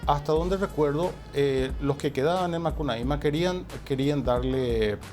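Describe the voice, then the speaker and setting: An older man from Paraguay whose first language was Portuguese, a musician guest telling stories in an unhurried, reflective way with many pauses. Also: a little high, darkish tone. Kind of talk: relaxed interview conversation. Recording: faint music underneath.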